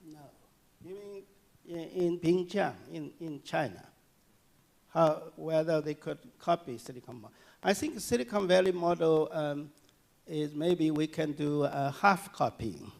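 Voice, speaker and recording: A man giving a public lecture and answering audience questions, speaking haltingly with many fillers and restarts. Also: on the high side, lively intonation, vocal fry. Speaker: guest lecturer. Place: lecture hall.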